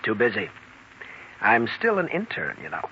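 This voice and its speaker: An actor playing a preoccupied young intern doctor, casual and low-key, in 1940s radio drama style. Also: male voice, huge pitch swings, normal speed, muffled recording. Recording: old radio recording, faint noise.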